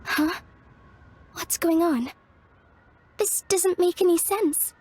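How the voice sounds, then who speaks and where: A woman's voice: very high voice, slow pace, a little mellow. A woman voicing an earnest young craftswoman in a video game, explaining things carefully. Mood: worried.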